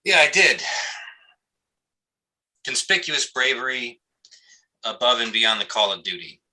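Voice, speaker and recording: A man's voice: medium pitch, big pitch swings, unhurried, bright, forceful tone, exaggerated voice, poor audio. A man playing a defensive, foul-mouthed cop and army veteran in a live play reading, speaking bluntly and evasively. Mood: somber.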